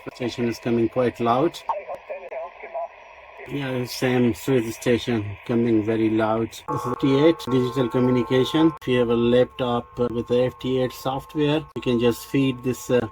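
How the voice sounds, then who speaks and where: A man casually demonstrating a product unscripted, explaining technical details in a halting way. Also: mid-range voice, steady tone, noisy background. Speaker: radio reviewer and demonstrator.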